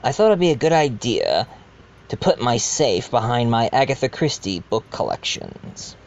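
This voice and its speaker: A man's voice: mid-range voice, very animated, gravelly, muffled recording. A man playing a genial but scheming wealthy host in an amateur murder-mystery audio drama, speaking formally and courteously. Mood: sly, confiding. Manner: formal, deliberate, addresses guests courteously. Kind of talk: amateur audio drama acting, part narration.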